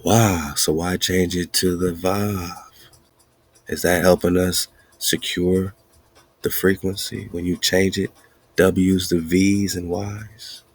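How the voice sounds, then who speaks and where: A podcast host speaking in a slow, emphatic, repetitive preaching style with a husky voice. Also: male voice, deep voice, little pitch movement, no echo. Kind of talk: casual preaching-style monologue.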